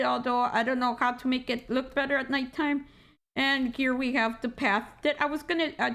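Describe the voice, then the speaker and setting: A woman with a squeaky voice giving a casual, rambling game walkthrough at her computer, tired and correcting herself as she goes. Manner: rambling, self-correcting, joking asides. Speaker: gaming channel host. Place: indoor room at a computer.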